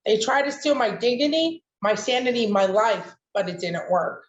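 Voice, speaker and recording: A woman's voice: a little high, animated, moderate pace. A woman reciting her own poem in an emphatic, declamatory spoken word manner. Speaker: poet, showcase participant. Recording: roomy, dull lo-fi online audio.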